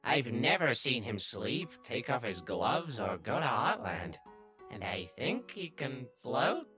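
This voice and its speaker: Someone doing a sly little cartoon flower, nosy n brooding, sharp swoopy voice, gets exasperated, music under it. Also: medium pitch, sing-song, slow, heavily acted. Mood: puzzled, scheming. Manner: brooding, scheming, bursting into exasperated outbursts. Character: small talking flower, sly, obsessively curious, easily exasperated. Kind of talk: comic dub character narration and dialogue.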